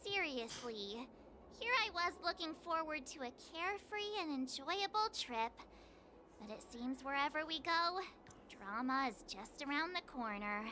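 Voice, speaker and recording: A woman voicing a spirited, blunt young girl adventurer in a video game, expressive, with noise behind her. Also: sits high, unhurried, exaggerated voice. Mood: exasperated. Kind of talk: scripted video game acting.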